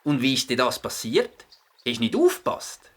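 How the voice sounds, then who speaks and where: A man playing a helpful, inventive young boy with a husky voice and a Swiss German accent in a children's audio play. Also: medium pitch, huge pitch swings, normal speed. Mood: curious.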